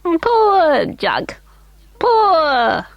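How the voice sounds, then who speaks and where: A woman voicing a plump little elfin man with a high little voice, sly and chuckling in a storybook reading. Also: huge pitch swings, vocal fry, highs cut off, full character voice. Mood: playful. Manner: teasing, quick chuckling.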